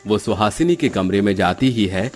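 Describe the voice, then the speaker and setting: Lively male cartoon story narrator. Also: fairly low pitch, moderate pace, faint music behind.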